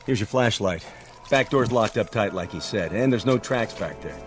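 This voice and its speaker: An actor playing a suspicious, hard-nosed state trooper in a radio play, brusque and insistent. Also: male voice, lowish voice, lively intonation, moderate pace, lightly acted. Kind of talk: dramatic radio play acting. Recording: radio play with prominent music underneath.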